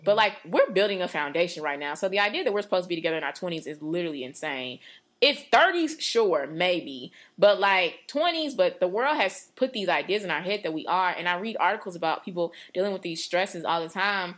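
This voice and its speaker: A woman's voice: on the low side, animated, fairly quick, fairly bright tone. Earnest young woman talking faith. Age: 20s. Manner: earnest, rambling, lots of "like". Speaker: podcast co-host. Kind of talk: casual faith talk.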